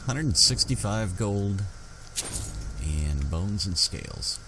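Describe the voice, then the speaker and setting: A man's voice: lowish voice, lively intonation, slow pace, background noise. A man doing roleplay commentary as he plays a video game, talking conversationally and in character. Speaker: gamer playing in character.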